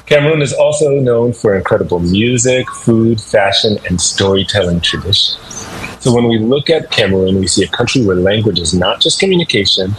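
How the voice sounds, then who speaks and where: Upbeat guy teaching a language bit on radio, lowish voice, faint hiss behind. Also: animated, fairly quick, poor audio. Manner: upbeat, instructive, drills listeners with call-and-response. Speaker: language teacher segment host.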